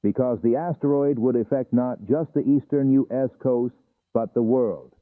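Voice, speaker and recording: A man playing a grave government official, giving an earnest, measured scripted briefing. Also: medium pitch, steady tone, no room sound, lightly acted. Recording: tinny, band-limited radio message.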